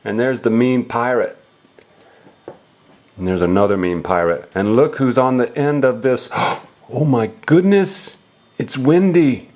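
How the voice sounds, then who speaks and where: A man's voice: mid-range voice, a little slow, darkish tone. A man reading a picture book aloud to a young child, asking simple questions and exclaiming as he explains.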